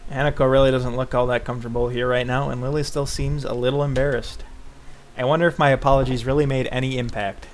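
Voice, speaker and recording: A man's voice: mid-range voice, level tone, quick pace, slight background noise. A man casually reading a visual novel aloud in the first person, breaking off into joking asides. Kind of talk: casual game read-along, narrating in first person.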